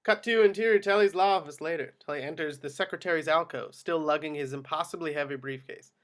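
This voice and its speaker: A man's voice: fairly high pitch, fast. A man reading screenplay action descriptions as scripted narration at a table read. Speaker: reader of stage directions.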